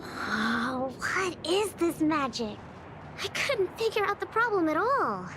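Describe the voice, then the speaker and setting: An actress playing a shy, curious teenage girl researcher in an anime-style game, hesitant and soft-hearted, often trailing off. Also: very high voice, very animated, slow, noisy background, heavily acted. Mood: shy, wistful.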